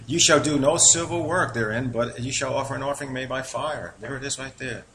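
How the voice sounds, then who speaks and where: A man with a New York accent, sitting at a mid-range pitch, works through scripture verse by verse. He reads the passages with a little lift and then drops into easy, conversational explanation. A faint hiss of background noise sits under the voice. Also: a little fast, a bit bright. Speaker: Bible teacher. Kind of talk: informal Bible teaching.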